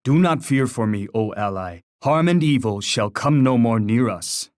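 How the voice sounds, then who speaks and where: A man's voice: medium pitch, expressive, normal speed, no echo, lightly acted. Man acting a solemn war chief, close and dry. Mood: solemn assurance. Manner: grave, formal, oratorical delivery. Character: solemn Native war chief, stern, poetic male leader. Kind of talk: theatrical video game character lines.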